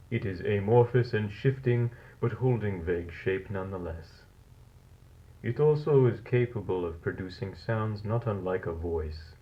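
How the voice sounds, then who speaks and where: A man playing a cold, fascinated scientist in a horror audio log, speaking in a measured, formal, clinical way. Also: sits low. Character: cold, fascinated male scientist logging a monstrous experiment. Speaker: narrator of a found-footage audio log. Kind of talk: scripted horror narration, dated lab log. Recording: dull lo-fi tape recording.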